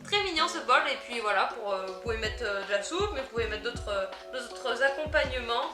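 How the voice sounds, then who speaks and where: A woman chatting through an unboxing vlog, easily delighted and quick to giggle. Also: fairly high pitch, lively intonation, roomy sound, music in the background. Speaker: unboxing vlogger. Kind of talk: casual product unboxing chat.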